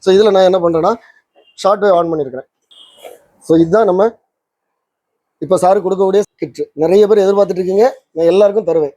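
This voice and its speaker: Male demo host, brisk and explanatory, close dry sound, casual English-sprinkled delivery. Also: high-pitched, mellow, no room sound. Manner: explanatory, mixes English words into his talk. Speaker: channel host and electronics maker demonstrating a kit. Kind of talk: casual product demonstration to camera.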